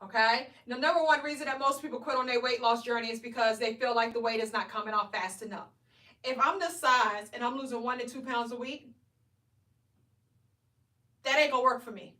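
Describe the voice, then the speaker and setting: 40s woman coaching weight loss on a live, high bright voice, echoey room, sounds a bit off mic. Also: fairly quick, distant mic. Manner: energetic, preachy, keeps asking "right?". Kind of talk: live stream motivational talk.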